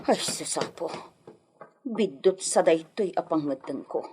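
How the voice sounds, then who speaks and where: A woman's voice: lowish voice, expressive, unhurried, darkish tone, a little hiss or hum. A woman playing an exasperated, scolding village mother in an Ilocano radio comedy drama, speaking with an Ilocano accent. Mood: worried, weary.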